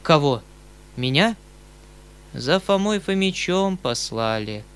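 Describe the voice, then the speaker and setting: Audiobook role: male reader as woeful old-fashioned house servant, higher-pitched, folksy, lamenting. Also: very animated, slow pace, a bit bright, clear, resonant tone, full character voice. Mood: doleful, alarmed.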